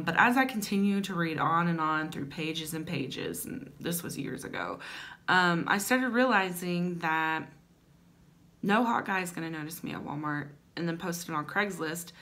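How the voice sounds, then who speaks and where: A woman's voice: lowish voice, a bit bright. A young adult woman hosting a comedic dramatic reading with chatty asides, in a playful, wry manner with theatrical emphasis. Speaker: host. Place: small indoor room at home.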